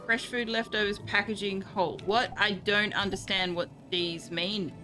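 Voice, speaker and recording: Brief: Australian female streamer, dry and sarcastic, mid pitch, over game music. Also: steady tone, normal speed, bright, forceful tone. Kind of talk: casual gameplay commentary, reading game text aloud.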